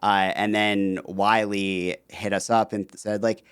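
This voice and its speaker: Low, smooth-voiced man telling a story. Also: slow, no room sound, studio quality. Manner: relaxed storytelling with frequent fillers. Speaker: podcast guest, author. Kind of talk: casual interview conversation.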